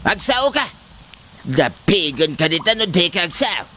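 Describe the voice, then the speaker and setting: An actor playing a hot-headed old father in a comedy drama, scolding and firing sharp questions. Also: high voice, very animated, a little slow, a little hiss or hum, exaggerated voice. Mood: stern.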